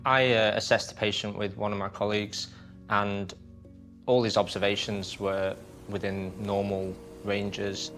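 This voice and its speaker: A male paramedic in an interview, explaining in a measured, plain way in an indoor room. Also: fairly low pitch, level tone, a bit bright. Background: music.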